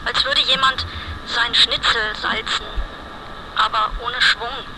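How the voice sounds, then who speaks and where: A performer voicing a weary, alienated, sexually indefinite inner voice, feeble and halting, in an experimental radio monologue. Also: medium pitch, steady tone, noisy background, close to an everyday voice. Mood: drained, gloomy. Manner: slow, halting, deliberate monologue. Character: weary, alienated, androgynous inner voice, gloomy and listless.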